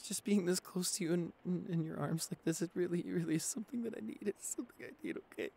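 A man's voice: sits high, fast talker, gravelly, breathy edge, full character voice. Shy, stammering young boyfriend, acted by a man. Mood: shaky, grateful. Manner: hesitant, stammering, soft-spoken. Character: shy, anxious young boyfriend frightened of thunderstorms. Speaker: audio roleplay performer. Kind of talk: boyfriend ASMR roleplay acting.

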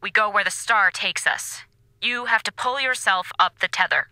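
A woman's voice: medium pitch, moderate pace, sharp tone, rough recording. A woman playing a stern, no-nonsense space station commander in an audio drama, clipped and authoritative, with an exasperated edge. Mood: firm.

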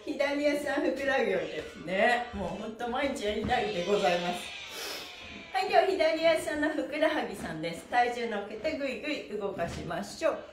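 A middle-aged woman leading follow-along exercise in a cheerful, energetic manner, with sing-song count-offs. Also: on the high side, brisk. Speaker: stretching and exercise instructor. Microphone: camera a few steps away. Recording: roomy echo, distant microphone, music behind.

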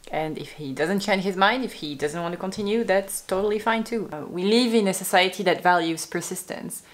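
A woman in her thirties, sitting at a desk and talking calmly and thoughtfully to camera in a casual vlog. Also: mid-range voice. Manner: calm, thoughtful, measured. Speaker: vlogger, partner of the sailor. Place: indoor room at a desk.

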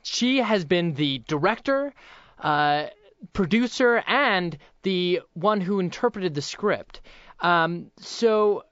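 A man's voice: high voice, lively intonation, takes it slowly, a bit bright, smooth, no room sound, dull audio. A young man hosting a casual interview in a hesitant, loosely phrased way. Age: young adult. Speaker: interviewer and voice actor.

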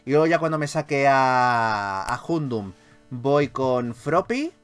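A man with a Castilian Spanish accent giving casual, animated gameplay commentary as a video host, swearing freely. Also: mid-range voice, takes it slowly, smooth. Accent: Spanish from Spain.

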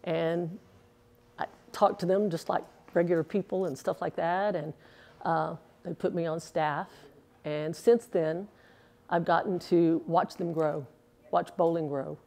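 Gravelly low-voiced woman in her sixties. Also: fairly even intonation, a little slow, dark tone. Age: 60s. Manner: chatty, earnest, rambling with fillers. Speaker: interviewee, former pro bowler. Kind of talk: casual interview answers. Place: indoors in a bowling alley. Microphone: lapel mic.

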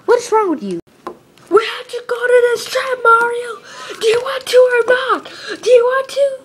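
A woman voicing a pushy, childish, excitable video game character in an improvised skit, with a whiny, slangy delivery. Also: high-pitched, moderate pace, breathy edge. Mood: pushy, whining.

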